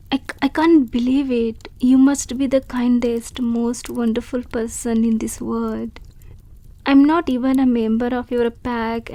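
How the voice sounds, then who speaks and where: Woman voicing a shy, soft-spoken wolf girl. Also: on the high side, dry recording. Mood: grateful. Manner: soft, polite and hesitant, with stammers. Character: shy grateful young female wolf creature. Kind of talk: audio roleplay.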